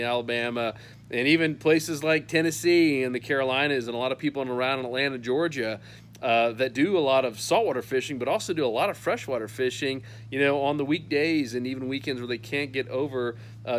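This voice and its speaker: A man's voice: mid-range voice, slight background noise. A man in his thirties hosting a fishing newsletter roundup, talking casually and upbeat to a phone camera on an outdoor patio, with many "uh" fillers. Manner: upbeat and chatty, lots of "uh" fillers.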